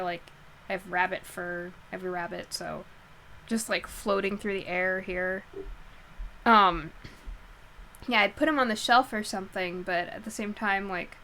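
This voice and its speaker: A woman's voice: mid-range voice, sharp tone, slight background noise, rough recording. Chatty woman, a little nasal. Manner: rambling, chatty, laughs easily. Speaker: live stream host and book reader. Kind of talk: casual chat with viewers before a reading. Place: at home in her bedroom. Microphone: headset while wearing headphones.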